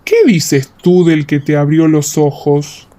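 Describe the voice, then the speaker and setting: A woman voicing a stern, accusing religious elder who challenges people deliberately in a dramatized audiobook. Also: low voice, fairly even intonation, warm tone, pitched below the performer's own voice. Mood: interrogating. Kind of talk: audiobook radio-theatre dialogue.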